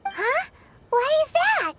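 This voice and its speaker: A woman voicing a tiny, childlike fairy companion in a video game, chirpy, blunt and curious, with a squeaky voice. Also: big pitch swings, slow pace, full character voice. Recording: loud music behind the voice.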